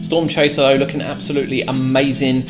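A British man giving a measured, descriptive voice-over tour as a theme park vlogger. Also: medium pitch, little pitch movement. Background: clear background music.

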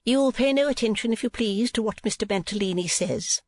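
A narrator voicing a haughty, pouting middle-aged businesswoman wife, imperious and sharp-tongued, in an audiobook. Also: medium pitch, no room sound, voice pushed up, lightly acted. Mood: indignant. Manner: imperious, brisk, put-upon.

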